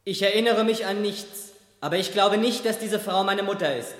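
A man's voice: high voice, moderate pace, fairly bright tone. A detached teenage boy in a seventies radio drama, questioning formally. The voice rings with echo and long reverb. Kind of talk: 1970s German radio play acting. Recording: echoey hall with long reverb.

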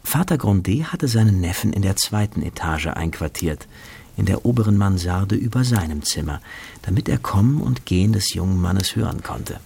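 A man acting as literary narrator of a radio drama, reading scripted prose in a measured, gravelly voice. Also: sits low, expressive, darkish tone, a little hiss or hum. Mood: composed.

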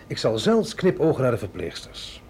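A man's voice: mid-range voice, wildly swooping pitch, normal speed, vocal fry, darkish tone, slight background noise. An actor playing a capable, reluctant but dutiful male agent in a radio drama, with a dry, wry manner. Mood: wry, resigned. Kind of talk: Dutch radio drama acting.

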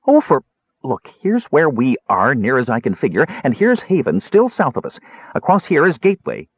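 A man voicing a small, eager, excitable kender who nags and pleads in a fantasy audiobook. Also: medium pitch, moderate pace, slightly rough, no echo, dull audio, pitched above the performer's own voice. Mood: urgent.

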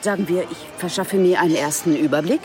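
A woman's voice: on the low side, fast, over music, natural voice. A woman playing a businesslike police detective around forty in a radio drama, dry, formal and matter-of-fact. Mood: dry, probing. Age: 40s.

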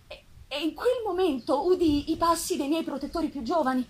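A woman reading gothic fiction in a measured, expressive, dramatic narration. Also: high voice. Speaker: reader. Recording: live festival recording with background noise.

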